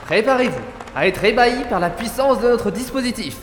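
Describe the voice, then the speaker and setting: A man's voice: very high-pitched, animated, a little fast, fairly bright tone, roomy sound, big-room sound, faint music behind, some chatter behind, voice pushed up. A man playing an eager, bombastic physicist in a theatrical comedic audio drama. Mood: excited, showy. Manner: pompous, theatrical. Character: Charles, eager, bombastic French male physicist.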